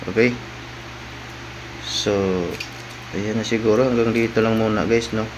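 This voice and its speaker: A man giving a casual, halting unboxing narration as a vlogger, often tagging phrases with a questioning word. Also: on the low side, flat delivery, noisy background.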